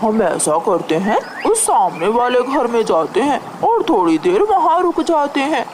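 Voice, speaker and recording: A scolding crow husband from a cartoon, acted by a woman. He grumbles bluntly with a widely swinging voice, over clear ambient noise. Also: on the high side, sing-song, a little slow, darkish tone. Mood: plaintive. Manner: blunt, reproachful. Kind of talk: cartoon story voice acting. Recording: noisy ambient background.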